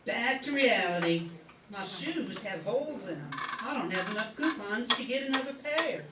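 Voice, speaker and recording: A woman's voice: medium pitch, expressive, normal speed, very noisy, lightly acted. A woman playing a sociable, chatty wartime factory worker in a 1940s-set radio play. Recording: echoey room, distant mic, music behind.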